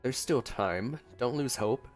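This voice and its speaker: Male let's-play host: casual and playful, medium pitch, reacting to a story quest over faint game music. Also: expressive, takes it slowly. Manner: playful, talks along with the game characters. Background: soft game music.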